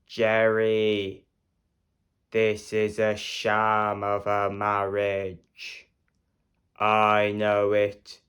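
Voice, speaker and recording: A man playing a melodramatic, distraught husband whose manner is anguished, in a loud theatrical monologue. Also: on the low side, completely flat delivery, extremely slow, clear, resonant tone. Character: distraught, melodramatic middle-aged Broadway husband, abandoned.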